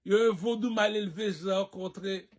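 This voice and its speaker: A man's voice: sits high, steady tone, brisk, a little mellow. A man delivering a rambling, slangy monologue to his followers, boastful and provocative, circling back to his catchphrases. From Haiti. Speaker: online personality addressing followers.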